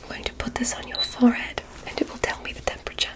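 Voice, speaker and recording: A woman voicing a gentle, curious alien doctor in an ASMR medical roleplay, whispering soothing, softly formal instructions. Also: quick pace, close to an everyday voice. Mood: soothing, clinical. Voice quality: breathy whisper.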